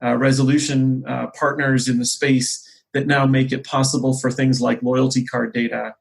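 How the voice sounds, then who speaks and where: A man with a somewhat husky voice at an average pitch explains business ideas. He is conversational but measured, pausing mid-phrase and rewording his points as he goes. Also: level tone, rough recording. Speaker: company executive, interview guest.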